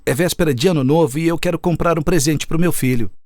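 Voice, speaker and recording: A man's voice: a little high, fast, darkish tone, no room sound, studio quality, natural voice. A man voicing a timid, polite office assistant in a children's cartoon story, pleading meekly. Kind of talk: animated children's story acting.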